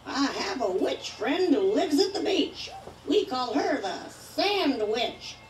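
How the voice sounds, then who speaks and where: A woman playing a theatrical witch who tells spooky puns in a drawn-out joke delivery, like a Halloween prop. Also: high-pitched, very animated, distant mic, full character voice. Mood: gleeful. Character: cackling female witch telling spooky puns, slow and theatrical. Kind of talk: Halloween prop comedy recording. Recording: roomy playback from afar, background noise.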